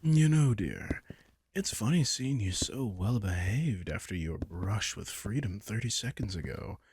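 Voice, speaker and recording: A man playing a possessive, sardonic vampire king in an intimate roleplay audio drama, teasing and condescending with a husky, breathy edge. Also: fairly low pitch, animated, measured pace, a little mellow, slight background noise. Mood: smug. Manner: teasing, condescending, casually profane.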